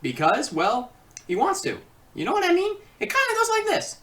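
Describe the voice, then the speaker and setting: A woman's voice: extremely high pitch, wildly swooping pitch, moderate pace, fairly bright tone, recorded from a distance. A woman giving a casual, comedic review with sarcastic, rambling asides. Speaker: video reviewer.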